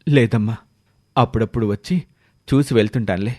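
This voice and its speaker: A man voicing a kindly, guilt-ridden uncle and henpecked husband in an animated moral story. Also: on the low side, fairly quick, natural voice. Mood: tender, guilty.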